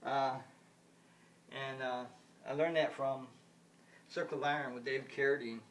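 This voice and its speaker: A man's voice: medium pitch, fairly even intonation, unhurried, fairly bright tone. Rambling man in his fifties, distant mic. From United States. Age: 50s. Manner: repetitive, restarts and circles back on points. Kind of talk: rambling solo monologue. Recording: distant mic with faint background noise.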